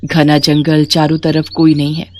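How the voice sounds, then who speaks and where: A woman's voice: deep voice, completely flat delivery, normal speed, a little hiss or hum. A woman narrating a scripted moral bedtime story as a storyteller.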